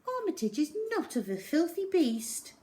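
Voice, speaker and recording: Woman playing young storybook schoolgirl, cheeky, mock-innocent, defiant, raised pitch, lively intonation. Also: normal speed. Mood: defensive. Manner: casual, feigned innocence.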